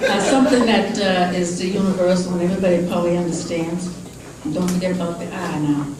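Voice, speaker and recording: An older woman from Boston with a Boston accent doing a stand-up comedy set, unhurried and deadpan, with many "uh" fillers. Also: on the low side. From Boston, USA. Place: large comedy club with live audience. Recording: echoey club recorded from far off. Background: crowd laughter and room noise.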